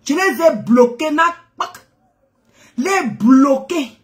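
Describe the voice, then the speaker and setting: High-voiced woman with a stuffy cold. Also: expressive, takes it slowly. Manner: chatty and teasing, exclaims and laughs often. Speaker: live-stream host. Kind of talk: casual live-stream chat greeting viewers.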